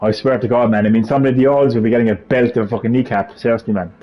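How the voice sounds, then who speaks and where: A man's voice: medium pitch, fairly quick, soft background music. A man with a Cork Irish accent hosting a casual live stream, joking and ranting with lots of swearing. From Ireland. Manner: profane, jokey, ranting. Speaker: livestream host. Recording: slightly muffled stream audio.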